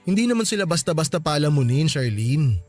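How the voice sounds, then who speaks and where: High-voiced, conflicted Filipino husband. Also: male voice, sing-song, fairly quick, soft background music. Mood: pleading. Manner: hesitant, pleading, defensive. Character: conflicted young husband, apologetic, loyal to his brother. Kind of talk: radio drama acting.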